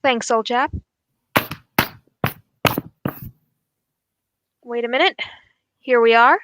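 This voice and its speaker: A woman's voice: on the high side, moderate pace, muffled recording, heavy background noise, lightly acted. A woman playing a keen, assured male English detective in a radio drama, speaking in a formal, analytical way. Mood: brisk, decisive.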